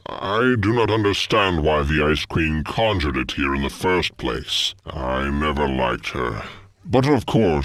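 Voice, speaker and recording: An actor playing a haughty, wry male schemer in a fantasy video game, measured and dryly disdainful. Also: rumbling low, a bit bright, dry recording, clean studio sound, exaggerated voice. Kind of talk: video game cutscene acting.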